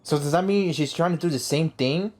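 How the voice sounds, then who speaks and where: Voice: mid-range voice, a little fast, a little mellow. A gaming YouTuber giving casual, slangy, offhand reactions during a let's-play.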